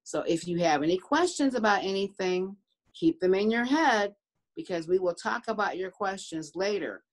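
A woman in her sixties, a preschool educator, explaining things simply to young children in a deliberate, emphatic, repetitive way. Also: medium pitch, lively intonation. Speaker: early learning center educator. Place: room at home, indoors.

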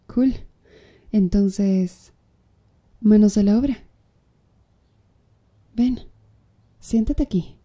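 A slow, flirty tattoo artist with a dark, mellow tone, as a woman plays her for an ASMR roleplay. She speaks softly and breathily, teasing her client with easy confidence. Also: mid-range voice. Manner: soft, breathy, teasing with little laughs.

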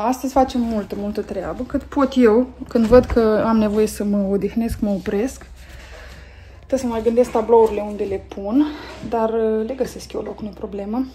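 A woman in her thirties from Romania, chatting casually through her cleaning while filming on her phone at home, pausing often with fillers as she works. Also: a little high, mellow. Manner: chatty, talks while doing chores, frequent pauses and fillers. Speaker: family vlogger. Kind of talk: casual clean-with-me vlog narration. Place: family home, indoors. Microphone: phone camera.

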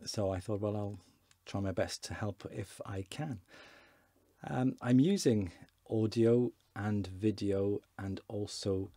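A man in his forties, a fluent Welsh speaker, giving a relaxed, chatty beginner lesson from his desk with hesitations and repeated words. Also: on the low side, slow pace, no echo. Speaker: Welsh language teacher. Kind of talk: casual online lesson.

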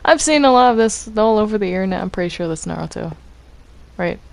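A woman's voice: medium pitch, lively intonation, fast, gravelly. A woman chatting casually as she hosts a multiplayer game roleplay session. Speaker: host of a Minecraft roleplay series. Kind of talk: casual gaming chat.

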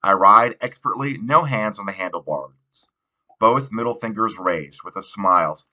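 Male audiobook narrator, slightly low pitch, bright forward tone, reading teen fiction. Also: moderate pace, poor audio. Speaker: audiobook reader. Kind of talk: read narration of a young adult novel.

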